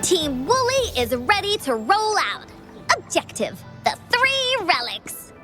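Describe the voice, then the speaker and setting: Bubbly, confident child adventurer for an anime game; high, very animated, unhurried. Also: female voice, music in the background, exaggerated voice. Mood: upbeat, confident. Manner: bubbly, childlike, speaks of self in third person. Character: cheerful, confident little girl adventurer, refers to herself by name. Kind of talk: anime-style video game voice acting.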